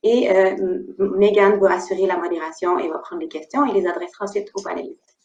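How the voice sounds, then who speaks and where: A woman with a Québécois French accent hosting an online panel over a video call, speaking in a measured way with frequent hesitations. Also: lowish voice, fairly even intonation, a little mellow, roomy sound, muffled recording. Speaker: panel moderator.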